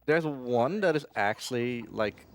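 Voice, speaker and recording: A man giving casual live commentary as he plays a game, halting and distracted. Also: mid-range voice, expressive, no room sound. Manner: halting, distracted while playing. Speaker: speedrunner. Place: live gaming marathon at a hotel event. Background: faint game music.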